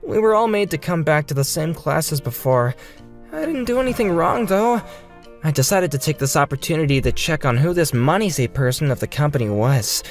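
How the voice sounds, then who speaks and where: Manga dub narrator, male, animated delivery over a music bed. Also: fairly high pitch, brisk, few pauses.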